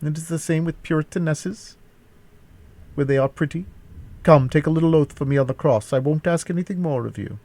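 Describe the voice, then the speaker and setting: A man's voice: a little high, fairly quick, warm tone, pitched above the performer's own voice, lightly acted. A man voicing a menacing aristocratic villain in an audiobook, mocking and cajoling his captive. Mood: sly, cajoling. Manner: jeering, mock-cajoling, threatening. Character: jeering, menacing aristocratic male villain and captor. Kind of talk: classic novel audiobook character voice.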